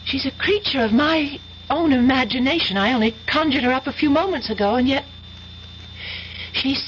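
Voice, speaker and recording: High-voiced, haunted young college student. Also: female voice, moderate pace, a bit bright, a little hiss or hum. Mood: bewildered, wondering. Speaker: actress in radio drama. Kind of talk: 1970s radio drama acting. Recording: muffled old radio broadcast recording.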